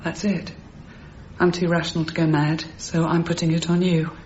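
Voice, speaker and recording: An actress playing a middle-aged grieving mother, brittle, wry and halting, in a naturalistic radio drama. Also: lowish voice, flat delivery, darkish tone, natural voice. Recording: muffled, faintly noisy radio broadcast recording.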